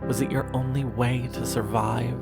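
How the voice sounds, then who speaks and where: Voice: mid-range voice, little pitch movement, gravelly, close to an everyday voice. A performer voicing a wistful narrator of scripted audio fiction, speaking intimately and reflectively to a lost lover over music. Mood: bitter, tender. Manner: reflective, measured, with rhetorical questions. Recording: music under the voice.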